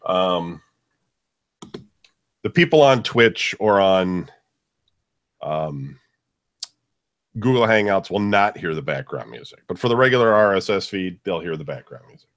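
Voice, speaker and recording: A man with a bassy voice co-hosting a casual radio show, thinking aloud in a hesitant way during a sound check. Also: lively intonation, measured pace, pauses often, fairly bright tone, dry recording. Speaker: radio show co-host and audio engineer.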